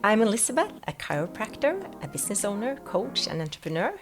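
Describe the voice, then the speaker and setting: A woman hosting a podcast, greeting her guest and asking questions in a warm, eager way. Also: medium pitch, very animated, quick pace, music in the background. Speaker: podcast host.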